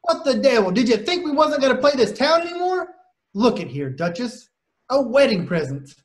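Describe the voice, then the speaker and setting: An actor as a slangy young vaudeville joker. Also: male voice, extremely high pitch, sing-song, moderate pace. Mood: jovial bluster. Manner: slangy, theatrical wisecracking. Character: flashy young male vaudevillian, jovial, good-natured, insensitive joker. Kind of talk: play table read.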